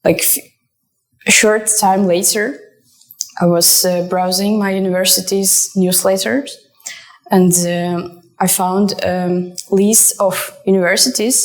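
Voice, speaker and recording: A woman's voice: medium pitch, steady tone, takes it slowly. Hesitant young woman interviewee. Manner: hesitant, many fillers, self-deprecating. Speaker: former student, entrepreneur. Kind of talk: documentary interview.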